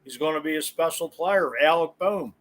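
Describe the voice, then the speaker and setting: A man's voice: on the high side, normal speed, a bit bright. An American man hosting a sports podcast in a casual, chatty style. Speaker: podcast host.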